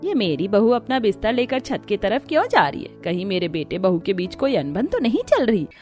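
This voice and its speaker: A woman's voice: medium pitch, sing-song, a little fast, darkish tone, close to an everyday voice. A woman voicing a caring, middle-aged mother-in-law who asks concerned questions in a cartoon story, with music behind. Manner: kindly, probing.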